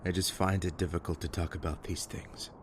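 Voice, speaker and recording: A man acting in an audio drama as a bitter, wounded, foul-mouthed survivor with a halting, raw delivery. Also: low voice, level tone, slight background noise, natural voice. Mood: halting, pained.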